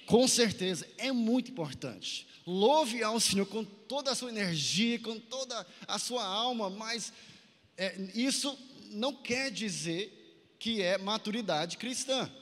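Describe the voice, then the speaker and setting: Male Brazilian preacher, bright and forceful, exhorting a youth conference in a reverberant hall. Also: high voice. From Santarém, Pará, northern Brazil. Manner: exhorting, asks listeners to repeat phrases and respond. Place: large indoor conference hall, amplified. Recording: echoey large hall with long reverb.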